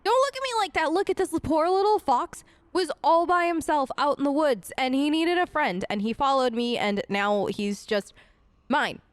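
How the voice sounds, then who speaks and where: A woman's voice: high voice, moderate pace, no room sound. A woman streamer giving casual, playful in-character commentary on a fantasy game, chatting to companions and pets. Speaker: video game streamer role-playing her character.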